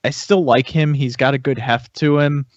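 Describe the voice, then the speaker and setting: A man's voice: medium pitch, fairly even intonation, moderate pace, no echo. A man chatting casually as a podcast panelist reviewing toys, hesitant and thinking aloud as he goes. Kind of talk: casual chat. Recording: slightly dull, lo-fi sound.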